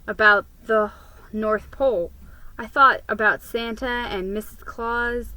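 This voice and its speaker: A woman giving a deliberate, theatrical reading of a children's story as its narrator. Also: fairly high pitch, bright, forceful tone, no echo, low-quality recording. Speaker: storybook reader.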